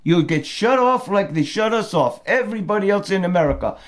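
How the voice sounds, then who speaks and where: A man's voice: sits high, expressive, a little fast. A man playing a ranting middle-aged immigrant from Portugal, speaking broken English with a put-on Portuguese accent and calling himself by name in the third person. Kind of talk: comedic character monologue.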